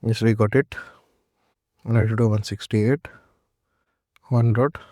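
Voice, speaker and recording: Male tutorial presenter, mellow and even-toned, halting step-by-step software walkthrough delivery, close dry sound. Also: fairly low pitch, no room sound. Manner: halting, repetitive step-by-step instruction. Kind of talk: unscripted software setup walkthrough.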